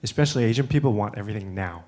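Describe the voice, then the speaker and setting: A man who grew up in the United States, speaking on a conference panel in a relaxed way with many fillers and restarts. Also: lowish voice, very fast. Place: conference room.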